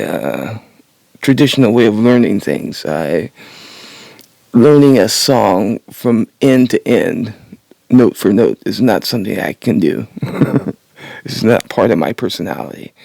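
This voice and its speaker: A man in his sixties talking about learning to sing in his retirement, raspy and a little congested, halting with many pauses and small laughs. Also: fairly low pitch, darkish tone, no room sound. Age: 60s. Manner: halting, many uhs and restarts, laughs to himself. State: nasal congestion. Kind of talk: casual rambling monologue. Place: home, early morning.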